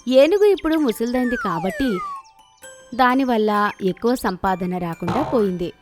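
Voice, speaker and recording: A woman's voice: fairly high pitch, sing-song, moderate pace. A woman reading scripted narration for children's moral stories. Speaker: story narrator. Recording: clear music bed behind narration.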